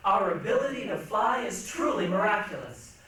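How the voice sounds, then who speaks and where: A woman's voice: medium pitch, sing-song, normal speed, a bit bright. A woman performing a solemn recited reading as part of a readers' theatre group. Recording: noisy echoey hall, distant mic.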